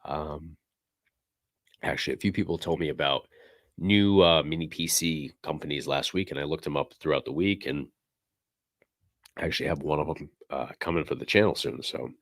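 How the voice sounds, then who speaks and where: Very deep-voiced raspy man. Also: normal speed, long pauses, no echo, clean studio sound. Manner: relaxed, rambling, many fillers. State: slightly hoarse, losing voice. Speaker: livestream host. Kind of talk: casual livestream chat.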